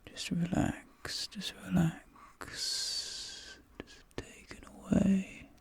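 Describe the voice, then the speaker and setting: An ASMR performer role-playing a dentist, whispering softly in a breathy, crackly voice and slowly repeating short, soothing, reassuring phrases. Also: medium pitch, wildly swooping pitch. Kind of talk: ASMR role play, soft whispering with personal attention.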